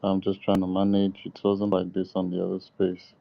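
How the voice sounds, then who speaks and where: A man's voice: low voice, completely flat delivery, normal speed, vocal fry. A man moderating an online gathering, speaking casually and apologetically as he handles the connection. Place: online audio space. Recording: dull lo-fi internet call audio.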